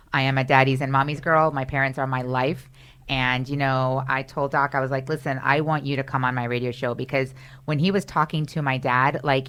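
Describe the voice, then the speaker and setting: A woman's voice: rumbling low, a bit bright, clean tone. A woman hosting a radio talk show, chatty and effusive as she welcomes guests. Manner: chatty and effusive, lots of "you know". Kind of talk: casual radio interview. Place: radio studio.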